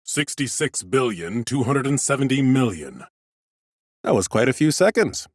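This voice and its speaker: A man narrating an audiobook in the first person as an ancient undead scholar, a fussy, smug, whimsical gentleman with a theatrical, drily humorous delivery. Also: medium pitch, sing-song, moderate pace, no room sound, studio quality. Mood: musing.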